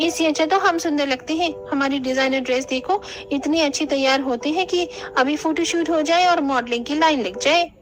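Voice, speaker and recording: A woman's voice: high-pitched, brisk, few pauses, natural voice. A woman voicing a vain, mocking, fashion-obsessed young daughter-in-law in a cartoon, with an affected manner. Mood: catty.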